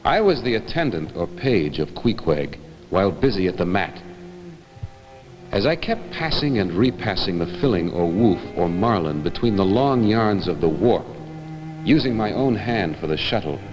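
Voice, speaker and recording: A man giving a measured, theatrical staged reading of literature, as an actor would in a 1960s broadcast. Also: deep voice, big pitch swings, muffled recording. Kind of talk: dramatic literary recitation. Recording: hall reverb with music behind, 1960s broadcast. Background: music.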